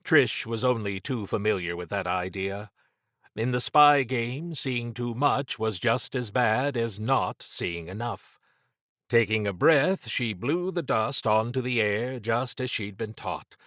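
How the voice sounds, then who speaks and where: A man reads suspense fiction as an audiobook narrator. His pace is slow and deliberate, his pitch sits in the middle, and the close studio recording is very dry. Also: a bit bright, no echo. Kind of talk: scripted dramatic fiction narration.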